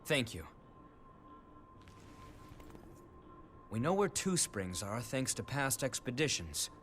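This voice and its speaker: An actor playing a composed, principled young male leader in a video game cutscene, calm, earnest and firm. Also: medium pitch, moderate pace, a little hiss or hum. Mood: earnest, polite.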